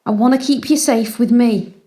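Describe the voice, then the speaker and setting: A woman's voice: a little high, moderate pace, mellow, lightly acted. An actress playing an anxious, clinging mother in an audio drama, hesitant and needy with her child. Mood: anxious, defeated.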